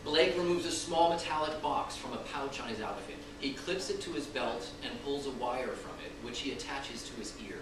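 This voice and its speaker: Male stage-directions reader, medium pitch, scripted narration, picked up distantly in a reverberant room. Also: lively intonation, moderate pace, hardly any pauses. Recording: echoey room, distant mic, noisy.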